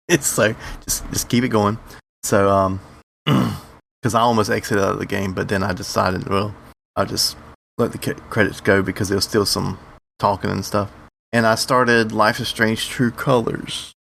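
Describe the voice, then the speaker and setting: A man's voice: fairly low pitch, fairly even intonation, a little slow, vocal fry, a bit bright, a little hiss or hum. A man co-hosting a podcast, chatting loosely and swearing now and then. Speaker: podcast co-host. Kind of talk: casual chat.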